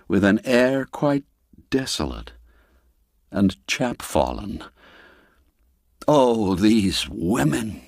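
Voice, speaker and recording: Slow, animated male storyteller. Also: on the low side, dry recording, clean studio sound. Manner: theatrical, expressive, unhurried. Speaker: narrator. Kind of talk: scripted literary storytelling narration.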